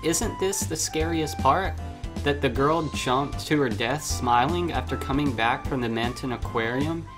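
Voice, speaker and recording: A man voicing an eager, cheerful schoolgirl character from a visual novel, with an excitable, playful manner. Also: medium pitch, unhurried, music in the background, voice pushed up, natural voice. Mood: excited. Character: eager, cheerful schoolgirl who loves food and scary stories.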